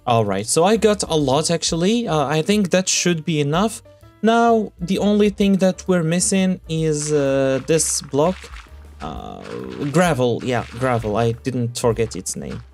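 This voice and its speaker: A young man who is sick and whose voice keeps cracking, narrating his Minecraft build in casual commentary, halting with lots of fillers and self-corrections. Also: fairly high pitch, sing-song, a little mellow, faint music behind. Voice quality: cracky, rough voice.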